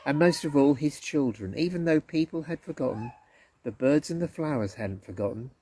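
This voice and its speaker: A man reading a Bible story for children in a gentle, expressive storytelling manner. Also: a little high, moderate pace, husky, rough recording. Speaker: story reader at a church.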